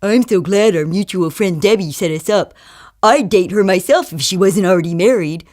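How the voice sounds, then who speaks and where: A woman's voice: mid-range voice, normal speed, darkish tone, natural voice. An arrogant, self-absorbed man on a date, as a woman puts him on. He talks pompously and boasts about himself in the style of a comic monologue. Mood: smug.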